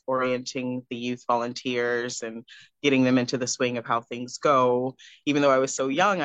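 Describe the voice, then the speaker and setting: A young adult woman from Texas, a guest recounting her upbringing in a relaxed interview, reflective and given to small laughs. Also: extremely low pitch, no room sound. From Texas, USA. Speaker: guest, pro wrestler. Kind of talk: casual interview chat. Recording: dull lo-fi dry sound.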